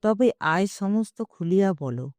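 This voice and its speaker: An affectionate young husband voiced by a woman narrator in an audio story. The delivery is slow and measured, with a soft, mellow tone. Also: mid-range voice, lively intonation, dry recording, clean studio sound, natural voice. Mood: troubled. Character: young husband, affectionate, playful then troubled. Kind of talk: audio story character reading.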